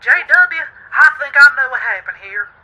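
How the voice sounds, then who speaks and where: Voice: high-pitched, animated, normal speed, sharp tone, highs cut off, heavily acted. Actor as self-important male deputy, folksy, piercing tone, vintage radio tape. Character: cocky, folksy male small-town deputy. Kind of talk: 1950s radio drama acting.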